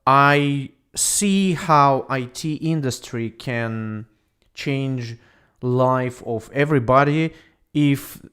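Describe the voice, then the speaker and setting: Smooth-voiced man with a Russian accent. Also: mid-range voice, extremely slow, fairly bright tone. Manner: encouraging, positive. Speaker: host of an English speaking club. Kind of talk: casual online conversation.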